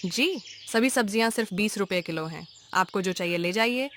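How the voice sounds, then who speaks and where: A woman voicing a humble, hardworking middle-aged mother who sells vegetables, earnest and deferential, in an animated moral story. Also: mid-range voice, normal speed, a little hiss or hum, close to an everyday voice. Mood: polite, eager.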